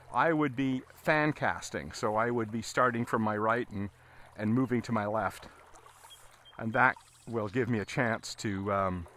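A man giving a casual, halting fly fishing tutorial as an instructor, talking while he works outdoors. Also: mid-range voice, a bit bright. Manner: halting, explaining as he casts. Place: outdoors, standing in a river. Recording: outdoor recording with water noise. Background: running water.

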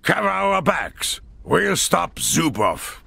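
Very gravelly old war veteran. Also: male voice, sits high, very animated, slow, bright, forceful tone, slight background noise, exaggerated voice. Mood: commanding. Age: older. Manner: gruff, commanding, dry. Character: gruff old military veteran, resolute and wry. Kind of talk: video game cutscene acting. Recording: cinematic music behind.

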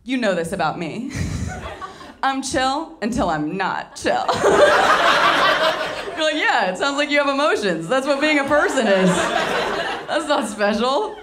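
A young woman from Tennessee performing a stand-up comedy set into a handheld mic, wry and deadpan, slipping into mocking voices for the people she quotes. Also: high voice, a little slow, long pauses, very noisy. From Southern US, Tennessee. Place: small candy-shop comedy venue with live audience. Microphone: handheld microphone.

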